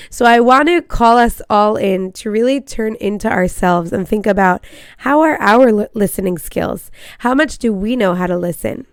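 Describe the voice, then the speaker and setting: A woman's voice: medium pitch, a little mellow, smooth, no room sound, clean studio sound. A woman hosting her own podcast as a marriage coach. She talks in an earnest, encouraging way while congested with a cold. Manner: earnest, encouraging, slightly apologetic. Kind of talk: casual podcast monologue.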